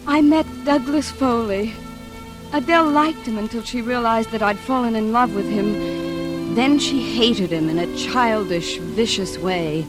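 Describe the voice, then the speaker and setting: A woman's voice: fairly high pitch, a little slow, lightly acted, poor audio. Wistful, haunted woman played by an actress, music behind. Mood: wistful, recounting. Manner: dreamy, hesitant, confiding. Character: wistful, haunted institutionalised woman recalling her sister. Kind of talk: 1940s radio drama monologue. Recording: vintage radio recording with music behind.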